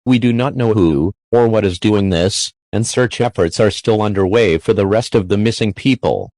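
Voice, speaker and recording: A man reads a scripted emergency broadcast announcement in a formal, measured manner, as an alert announcer. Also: lowish voice, dark tone, dry recording.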